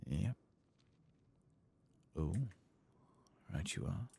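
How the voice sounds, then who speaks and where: A soft-spoken man doing whispered ASMR game commentary in a breathy, gravelly voice, musing in an unhurried, hesitant way. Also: low-pitched, expressive, a little hiss or hum. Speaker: gaming ASMR host.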